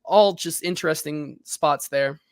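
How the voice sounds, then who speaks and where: A man's voice: a little high, a little slow, dry recording, studio quality. A man producing a sports podcast, casually reading listener comments aloud.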